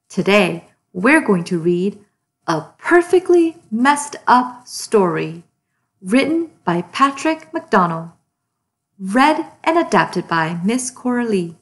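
A woman hosting a children's read-aloud, narrating a storybook expressively and deliberately for young listeners. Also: mid-range voice, sing-song, a bit bright.

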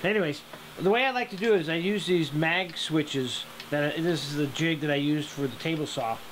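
A middle-aged man giving a casual tutorial from his home metal workshop, plain-spoken and relaxed, with ums and restarts. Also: on the high side, moderate pace, a little hiss or hum. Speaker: hobby welder teaching a project.

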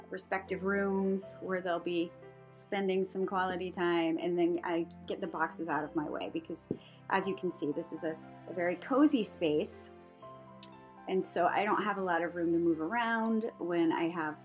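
A woman in her fifties giving a casual, rambling, chatty voice-over for a home vlog, prone to chuckling. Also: fairly low pitch. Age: 50s. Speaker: craft vlogger. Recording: music behind the voice. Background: music.

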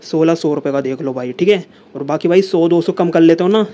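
A man's voice: on the high side, a little fast, warm tone. A young man vlogging casually to his phone camera in a small room, talking in a chatty way and repeating the same filler words over and over. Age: young adult. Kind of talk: casual vlog talk to camera. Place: small room indoors, then a seller's home.

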